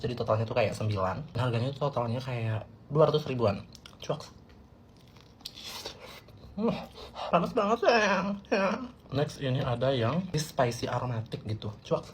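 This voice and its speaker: A man with an Indonesian accent and a mid-pitched, highly animated voice chats through a mukbang. He is playful and exclamatory, with faint background noise. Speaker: mukbang host. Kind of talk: casual mukbang vlog narration.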